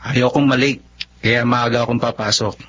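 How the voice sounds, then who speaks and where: A man's voice: mid-range voice, fairly even intonation, moderate pace, fairly bright tone, lightly acted. A man playing an earnest, dutiful young working man in a radio drama. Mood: weary, firm. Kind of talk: Tagalog radio drama acting. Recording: dull, slightly noisy radio drama audio.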